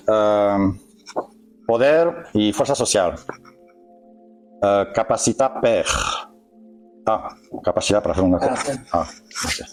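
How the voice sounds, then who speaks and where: A man lecturing a group in a language that is not his first, stopping often to search for words and correct himself. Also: fairly low pitch. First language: not Spanish. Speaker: workshop speaker. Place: meeting hall with an audience.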